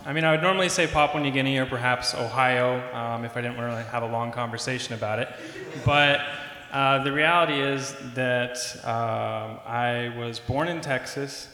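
A young man on a student panel, telling stories in a relaxed, casual way with plenty of filler words. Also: medium pitch, moderate pace, few pauses. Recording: echoey hall with faint noise.

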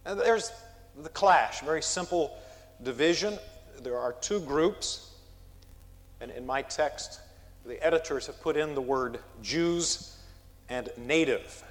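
A man preaching an expository sermon from a pulpit, measured, with emphatic rises on key words. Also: fairly high pitch, in a large hall. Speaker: pastor. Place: church sanctuary.

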